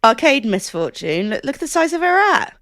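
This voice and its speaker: A woman's voice: sits high, very animated, fairly quick, fairly bright tone, no echo. Playful female podcast co-host, dry close sound. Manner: playful, joking. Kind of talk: casual banter.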